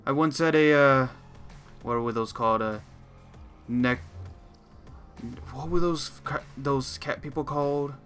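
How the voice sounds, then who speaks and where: A man's voice: medium pitch, unhurried, pauses often, fairly bright tone, natural voice. A man playing a confident, wry werewolf teacher in an improvised Minecraft roleplay. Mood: wry, reminiscing. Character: male werewolf mentor, confident, wry, running training games. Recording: music behind the voice.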